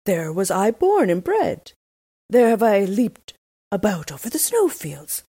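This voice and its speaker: A woman voicing a proud, longing reindeer in a storybook reading, with a breathy voice. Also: medium pitch, big pitch swings, a little slow, dark tone, no echo, studio quality, pitched above the performer's own voice, exaggerated voice.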